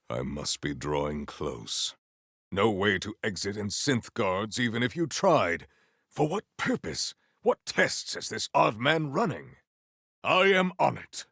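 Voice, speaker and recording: An actor playing a proud, battle-eager armored warrior-poet in a video game, with formal, theatrical diction. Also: male voice, medium pitch, vocal fry, fairly bright tone, breathy edge, dry recording, studio quality, full character voice. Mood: musing, stately. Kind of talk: scripted game character lines.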